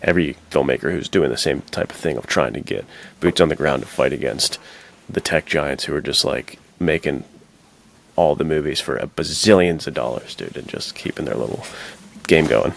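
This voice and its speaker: A man's voice: a bass voice, normal speed, creaky, a little hiss or hum. A man co-hosting a podcast, chatting about films in an informal, slangy way. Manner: informal slangy talk, says dude and like often. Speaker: podcast co-host. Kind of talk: casual film discussion chat.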